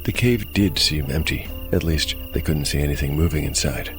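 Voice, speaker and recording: A man with a deep voice narrates a dark fantasy tale as game master. His delivery is measured, scripted storytelling, with soft music underneath.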